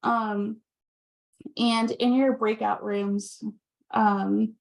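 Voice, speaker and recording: A woman guest speaker on a video call, soft-spoken with frequent ums, slightly hoarse from talking long. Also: on the high side, little pitch movement, very slow, rough recording. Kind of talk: presentation wrap-up and casual chat on a video call.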